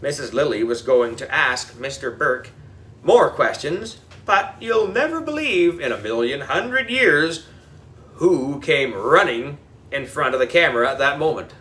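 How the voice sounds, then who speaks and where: A man's voice: a little high, big pitch swings, a little slow, pushed and bright, recorded from a distance. A man narrating a children's audiobook in a playful, exaggerated storytelling manner. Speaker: audiobook reader.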